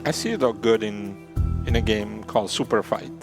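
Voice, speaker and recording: A man from Italy with an Italian accent, a native Italian speaker, chatting casually as a co-commentator and pausing to find words. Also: on the low side. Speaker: guest co-commentator. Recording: broadcast with music playing behind. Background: music.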